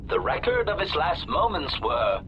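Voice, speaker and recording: An actor playing a polite but sinister protocol droid in a video game, with robotic processing and formal, pedantic delivery. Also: male voice, fairly high pitch, huge pitch swings, normal speed, highs cut off, a little hiss or hum. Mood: coolly courteous. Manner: formal, pedantic, labels statements before saying them. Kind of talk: scripted video game dialogue.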